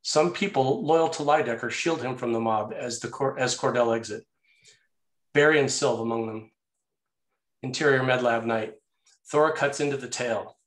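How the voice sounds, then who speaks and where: A man's voice: mid-range voice, normal speed. A man reading scene headings and stage directions as the narrator of a screenplay table read. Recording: roomy, echoey sound.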